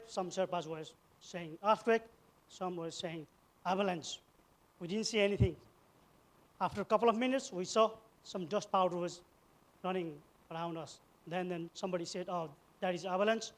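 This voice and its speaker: Middle-aged male speaker, Nepali accent, high voice, emphatic halting public storytelling. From eastern Nepal. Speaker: event speaker, former soldier. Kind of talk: personal story told as a public speech.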